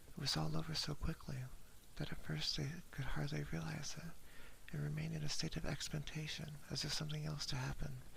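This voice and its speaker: A man reading a horror story in a slow, hushed ASMR style, often whispering, close to a binaural microphone. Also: a little high, flat delivery, vocal fry, slight background noise. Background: soft ambience.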